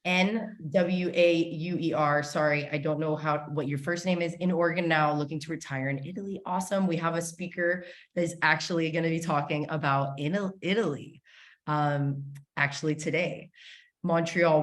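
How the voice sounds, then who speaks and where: Woman, low-pitched voice, chatty summit host, gushing, informal, casual live interview delivery. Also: steady tone, moderate pace. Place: indoors, online video call.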